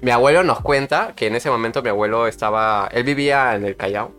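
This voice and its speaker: A man's voice: medium pitch, brisk, fairly bright tone, soft background music. A young man telling a personal story in a casual podcast chat, hesitant and full of fillers. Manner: hesitant, rambling with many fillers. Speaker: podcast co-host.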